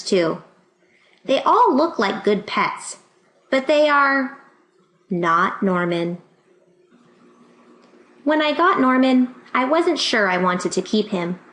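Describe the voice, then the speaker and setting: Slow-paced woman reading a picture book, echoey room. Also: a little high. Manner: expressive, acting out dialogue and sounds. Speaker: storybook reader. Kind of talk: children's picture book read-aloud. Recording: roomy, echoing sound.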